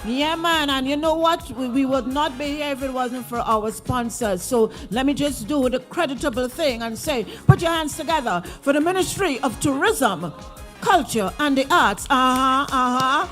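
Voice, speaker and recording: A woman with a Trinidadian accent hosting a live stage event, excitable and hyping up the audience. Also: high-pitched, normal speed, soft background music.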